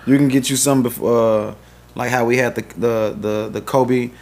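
A man's voice: medium pitch, steady tone, moderate pace, a little mellow. A man, co-hosting a podcast in loose, joking casual chat and plugging the show's projects. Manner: loose, joking, promo-style plugs. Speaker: podcast co-host.

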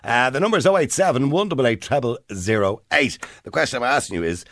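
Irish male radio host, animated delivery, dry studio. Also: mid-range voice, quick pace, no echo. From Ireland. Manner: chatty, probing, self-correcting. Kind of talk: radio phone-in chat. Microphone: studio microphone.

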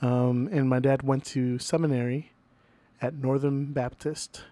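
A man's voice: medium pitch, level tone, unhurried, dark tone. A young man from Venezuela, a podcast guest, chatting casually, a bit nervous and goofy, laughing often. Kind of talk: casual chat.

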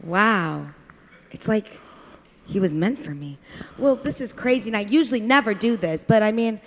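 A woman's voice: mid-range voice, expressive, normal speed, slight background noise, lightly acted. A woman improvising a flustered, chatty, eager-to-please diner waitress in a comedy parody of a radio play. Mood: smitten, rambling. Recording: reverberant hall sound.

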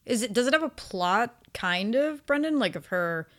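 A woman co-hosting a casual podcast discussion, talking in an animated, conversational way. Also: on the high side, moderate pace. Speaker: podcast co-host.

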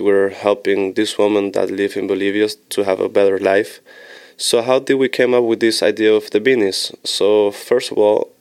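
A young man from Switzerland hosting a student podcast, measured and reading from notes as he thanks each guest. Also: lowish voice, darkish tone, smooth. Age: young adult.

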